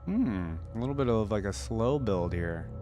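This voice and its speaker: A man's voice: fairly low pitch, lively intonation, moderate pace, warm tone. A man giving casual reaction commentary as host, thinking aloud with some hesitation. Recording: music playing behind the voice.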